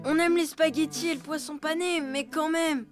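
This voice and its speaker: A woman voicing a blunt, grumbling cartoon elephant child who speaks slowly and matter-of-factly. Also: high-pitched, steady tone, music in the background, lightly acted.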